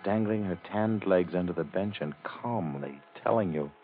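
Low-voiced, grave radio drama narrator man. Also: normal speed, a little hiss or hum, natural voice. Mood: grave, ominous. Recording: muffled old radio recording. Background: dramatic music.

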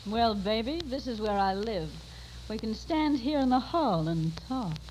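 Sultry nurse, highly varied pitch. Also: female voice, expressive, measured pace, a little mellow. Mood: sultry, flirtatious. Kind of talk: old-time radio comedy acting. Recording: noisy old radio recording.

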